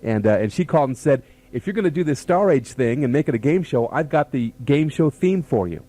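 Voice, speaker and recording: A man's voice: mid-range voice, lively intonation, brisk, warm tone. A man, a radio station staffer, reminiscing in casual, chatty conversation.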